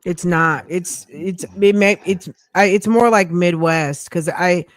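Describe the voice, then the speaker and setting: A woman hosting a podcast, chatting casually and firing off quick, probing questions. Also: lowish voice, no echo. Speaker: podcast host.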